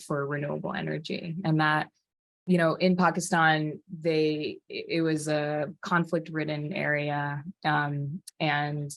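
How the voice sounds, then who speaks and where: Low-voiced earnest woman panelist. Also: no room sound. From Hawaii, USA. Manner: earnest, passionate, frequent restarts. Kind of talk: panel talk.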